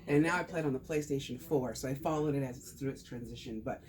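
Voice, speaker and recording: A man's voice: mid-range voice, quick pace, a little mellow. A man talking casually to a small group, then presenting on taxes with jokes and rhetorical questions. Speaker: tax advisor giving a talk.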